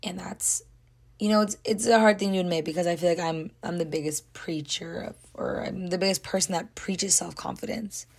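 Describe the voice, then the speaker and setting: A young woman hosting a podcast, talking candidly and rambling in an unscripted reflective monologue, her voice breathy and sometimes dropping to a whisper. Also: fairly low pitch, steady tone, normal speed. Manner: candid, rambling, many "like" and "you know". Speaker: podcast host.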